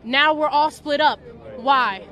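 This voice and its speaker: A woman's voice: high-pitched, slow, pushed and bright, some chatter behind. An American woman answering a street interview outdoors, emphatic and insistent, repeating herself for weight. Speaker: rally attendee being interviewed. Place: outdoors in a street by a rally queue.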